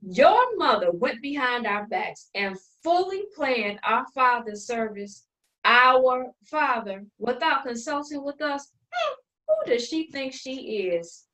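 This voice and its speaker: An exasperated, bossy eldest sister played by a woman in a family dramedy. She is theatrically sarcastic, with a fairly high, animated voice heard a little away from the microphone. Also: slow, full character voice. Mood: incredulous. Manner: sarcastic, theatrical, condescending endearments. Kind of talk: online dramatic play reading. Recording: distant from the microphone.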